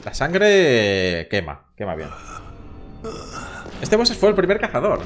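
A man's voice: mid-range voice, huge pitch swings, takes it slowly, soft background music. A man in his thirties from Barcelona with a Spanish accent, chatting casually and swearing while streaming a game from a room at home. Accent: Castilian Spanish from Barcelona. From Catalonia, Spain. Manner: chatty, swearing, joking with chat. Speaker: gaming streamer. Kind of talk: casual live gameplay commentary.

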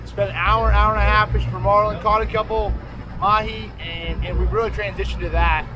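A man's voice: high voice, steady tone, brisk, poor audio. A man in his thirties on a boat deck, talking casually and folksily to a close camera about a fishing trip. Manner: laid-back, rambling, folksy. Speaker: fishing vlogger. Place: open deck of a boat at sea, outdoors. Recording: wind and engine noise outdoors.

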